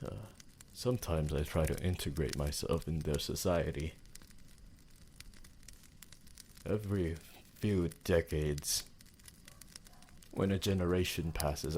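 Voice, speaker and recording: Guy doing an old vampire boyfriend asmr, super low voice, formal n devoted, bit of noise behind it. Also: darkish tone. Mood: reflective. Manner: slow, formal, theatrical phrasing with pauses. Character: ancient possessive male vampire, devoted and refined lover. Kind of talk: roleplay ASMR, intimate dramatic monologue.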